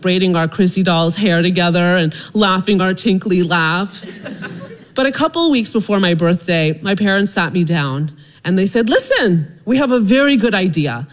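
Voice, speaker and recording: A middle-aged woman tells a personal story live on stage in front of an audience. Her delivery is animated and humorous, with plenty of self-deprecation. She comes across as a storyteller working the crowd. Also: on the high side. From Maryland, USA.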